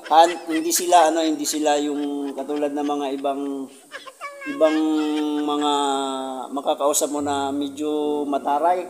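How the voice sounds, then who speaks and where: A man with a Filipino accent and Tagalog as first language, chatting casually as a vlogger, jovial and halting, often groping for English words. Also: on the high side, level tone, a little mellow. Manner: jovial, giggly, self-deprecating. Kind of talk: casual vlog chat, interviewing visitors. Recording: clearly noisy amateur vlog recording.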